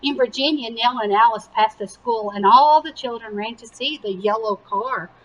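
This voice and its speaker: A woman reading a picture book aloud to young children with animated emphasis and simple explanatory asides. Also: fairly high pitch, normal speed, lo-fi sound, slight background noise. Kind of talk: slow, expressive children's read-aloud.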